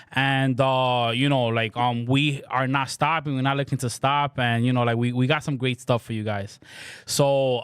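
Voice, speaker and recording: A man's voice: medium pitch, fairly bright tone, clear, resonant tone, dry recording. A man co-hosting a podcast in a small studio, talking easygoingly with many fillers and restarts. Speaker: podcast co-host. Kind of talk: casual podcast chat. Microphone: studio mic with headphones.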